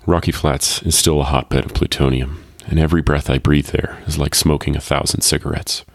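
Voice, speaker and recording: A man reading a first-person short story as a world-weary, wryly fatalistic parent who works as a security guard, in a deadpan, measured way. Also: very deep voice, dry recording, clean studio sound. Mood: wry, resigned.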